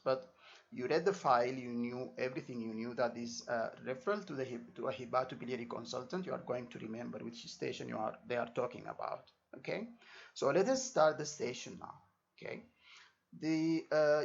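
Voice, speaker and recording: A man's voice: medium pitch, fairly quick, creaky. A man giving an informal exam lesson as a tutor, repeating himself and checking understanding with short tags. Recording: dull lo-fi microphone sound.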